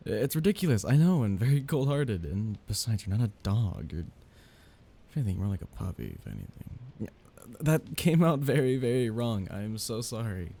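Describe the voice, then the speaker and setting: A man playing a soft-hearted, nervous young mafia heir in an intimate ASMR romance roleplay, speaking softly, haltingly and apologetically. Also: on the low side, very animated. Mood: flustered, apologetic.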